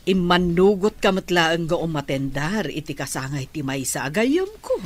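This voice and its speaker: An actress playing a sensible middle-aged friend who gives measured advice in a radio drama. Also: female voice, low-pitched, animated. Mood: friendly, concerned.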